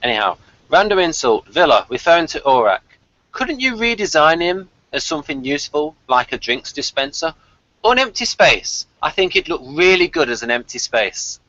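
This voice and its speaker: A man's voice: fairly high pitch, very animated, moderate pace, pushed and bright, dry recording, highs cut off. A man co-hosting a casual review chat, with a wry way of talking as he mixes prepared jokes with asides. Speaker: podcast co-host.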